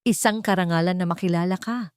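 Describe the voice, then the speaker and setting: A woman's voice: on the low side, fast, clear, resonant tone, no room sound, studio quality, close to an everyday voice. A woman voicing a gallant male admirer in a romance story, polite and suave. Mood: courteous. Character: gallant, courteous male admirer with a teasing streak. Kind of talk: storybook romance drama dialogue.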